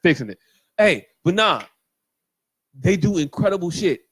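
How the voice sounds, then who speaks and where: A man co-hosting a podcast in relaxed, profane banter. He riffs and jokes with animated energy, his voice sitting a little high. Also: normal speed, husky, no room sound. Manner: animated, profane, riffing and joking. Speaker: podcast co-host. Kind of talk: casual chat.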